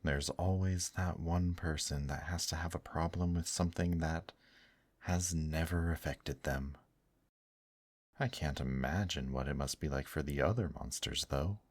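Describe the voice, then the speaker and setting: A man voicing a gentle, teasing male demon in an intimate ASMR roleplay, speaking softly and courteously to the listener. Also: extremely low pitch, dry recording, studio quality. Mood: wry, resigned. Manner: slow, soft, courteous, affectionate teasing with endearments. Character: gentle, teasing male demon brothel worker, tender and attentive.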